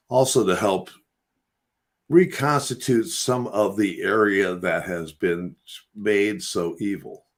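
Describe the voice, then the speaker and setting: A man from West Virginia with a very low voice talks earnestly on a podcast. He rambles and preaches about helping people. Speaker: host. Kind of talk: casual spiritual talk on a radio podcast.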